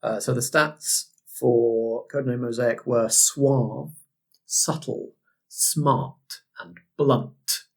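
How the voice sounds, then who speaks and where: A man's voice: mid-range voice, drawn-out words, husky, poor audio. A man chatting casually as a podcast guest, thinking his answers through aloud in a thoughtful way. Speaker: podcast guest, game master. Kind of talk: casual chat.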